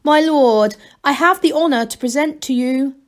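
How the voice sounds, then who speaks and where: A woman's voice: sits high, moderate pace, pitched above the performer's own voice, lightly acted. A woman voicing an earnest gnome inventor in a storybook, speaking formally and urgently with stiff respect. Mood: formal, nervous.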